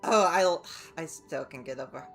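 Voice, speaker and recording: A woman giving casual live game commentary as a streamer, thinking aloud in a halting way. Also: deep voice, over music. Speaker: livestreamer playing a game.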